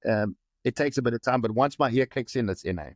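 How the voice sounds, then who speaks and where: Animated South African man on a video call. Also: mid-range voice, quick pace, no echo, studio quality. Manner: animated storyteller, often repeats words, slips into other accents. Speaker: actor guest. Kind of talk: casual interview over video call. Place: indoor room with plain wall.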